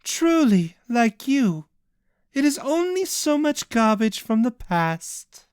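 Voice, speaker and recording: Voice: mid-range voice, very animated, slow pace, clean tone, no room sound, clean studio sound, voice pushed up. A storyteller voicing a cloaked woman villain who teases in a patient, cold way. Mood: teasing.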